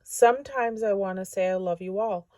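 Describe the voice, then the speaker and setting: Woman reading as a shy, soft little storybook mole. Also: mid-range voice, moderate pace, no echo, close to an everyday voice. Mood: shy, earnest.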